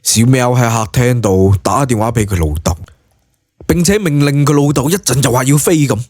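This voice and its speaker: A man's voice: mid-range voice, normal speed, darkish tone, dry recording, clean studio sound. A man with a Hong Kong Cantonese accent narrating a serialised novel in a dramatised audiobook style.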